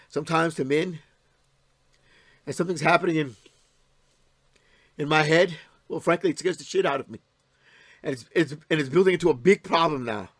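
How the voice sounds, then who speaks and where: An actor playing a troubled, wounded, anxious husband in an audio play, speaking in a halting, confessional way. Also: male voice, on the high side, a bit bright, dry recording. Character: troubled, wounded, anxious estranged husband, brooding and self-pitying.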